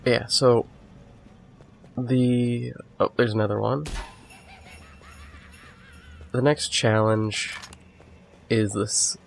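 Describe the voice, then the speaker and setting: A man with a cold, a little sniffly, giving relaxed, rambling commentary over a game walkthrough. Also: medium pitch, extremely slow, creaky, long pauses, a little hiss or hum. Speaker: gamer doing a walkthrough. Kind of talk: casual gameplay commentary.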